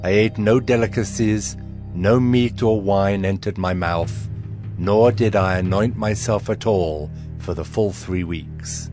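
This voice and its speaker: Man as a slow, reverent prophet over music. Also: lowish voice, lightly acted. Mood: grave, awestruck. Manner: grave, reverent, deliberate. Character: Daniel, male Hebrew prophet, humble and awestruck, recounting visions. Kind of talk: dramatized scripture storytelling. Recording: clear music bed behind voice.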